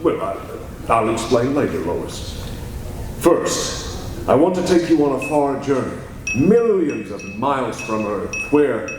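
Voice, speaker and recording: A man acts an earnest reporter hero of a radio play, confiding as he tells his tale. His pitch moves animatedly. He is far from the mic in a hugely echoey hall. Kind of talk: 1940s-style radio acting. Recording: distant, echoey hall, faint noise.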